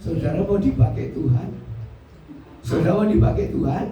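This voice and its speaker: High-pitched elderly preacher man, Javanese Indonesian accent. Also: lively intonation, normal speed, highs cut off, crowd noise. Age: 70s. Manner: repetitive, insistent, questioning the congregation. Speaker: pastor preaching. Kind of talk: interactive sermon. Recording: very echoey hall, far from mic.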